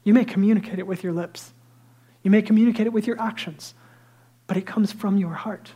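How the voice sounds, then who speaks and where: A man with a high, light voice preaching a sermon as a pastor, telling stories lively and dropping into hushed asides. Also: brisk, husky, lo-fi sound. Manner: lively storytelling that drops to hushed, near-whispered asides; self-deprecating and conversational.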